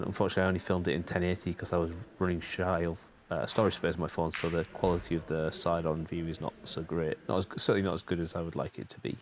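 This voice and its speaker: A deep-voiced man talks over his own practice footage in an easygoing, rambling way, pausing and hesitating often. He is getting over a cold, so he sounds a little congested and tired. There is a faint hiss of noise behind him. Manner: rambling and chatty, frequent hesitations. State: slightly stuffed up and tired from a cold. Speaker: channel host.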